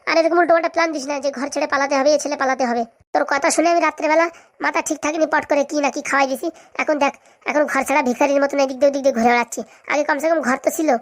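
A woman's voice: high voice, fairly even intonation, rapid-fire, lightly acted. A woman voicing a greedy, scheming village woman in a cartoon comedy, chatty and bickering in colloquial speech.

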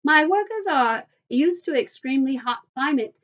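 Woman acting eccentric old factory owner, high fluty pitch, gushing, excitable, storybook style, dull lo-fi sound. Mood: eager guiding. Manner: gushing, hurried bursts of exclamation. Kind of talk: children's storybook character voice.

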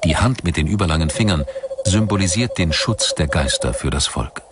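A man's voice: sits low, lively intonation, normal speed, very noisy. A man reading scripted documentary narration as the narrator. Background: music behind the voice.

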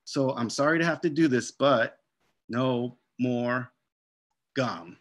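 A man voicing a gentle but firm mother who soothes and scolds her daughter, over a video call. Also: mid-range voice, slow.

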